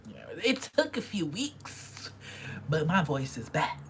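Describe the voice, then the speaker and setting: A woman's voice: sits low, huge pitch swings, measured pace, slight background noise. A young woman casually reviewing pop albums in a vlog, chatty and gushing, sometimes dropping to a whisper. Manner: chatty fangirl, gushing, drops into quiet whispers.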